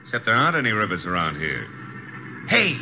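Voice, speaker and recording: An actor playing a breezy, sardonic detective's assistant and narrator in a 1950s American radio drama, slangy and teasing. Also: male voice, on the low side, big pitch swings, brisk. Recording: dull, noisy 1950s radio recording.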